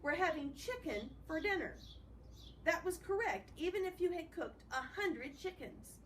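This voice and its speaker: An American woman reading a story aloud like a teacher, expressive and explanatory, breaking off for casual commentary. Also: very high-pitched, normal speed. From United States. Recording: distant mic, noisy room ambience.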